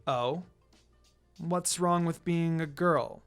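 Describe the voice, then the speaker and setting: Reader putting on a slow, menacing dark teenage girl. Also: mid-range voice, smooth, soft background music. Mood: quietly menacing.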